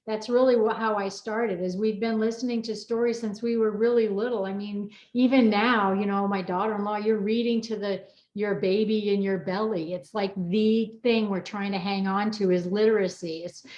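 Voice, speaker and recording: Woman, middle-aged, mid-pitched, emphatic chat host, echoey slightly muffled recording. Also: fairly even intonation, normal speed, few pauses, a little mellow. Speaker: host and wellness coach. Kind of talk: casual panel chat.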